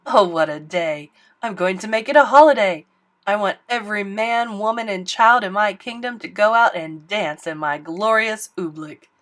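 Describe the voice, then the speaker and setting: A greedy, excitable king from a storybook, loud and boastful and quick to bark orders, as a woman acts him out. He shouts with glee and snaps at anyone who questions him. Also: mid-range voice, lightly acted. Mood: gleeful.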